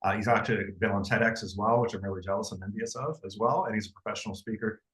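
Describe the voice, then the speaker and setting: Casual low-voiced male webinar host. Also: fast, a bit bright, rough recording. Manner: casual, many fillers. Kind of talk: webinar introduction. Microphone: video call.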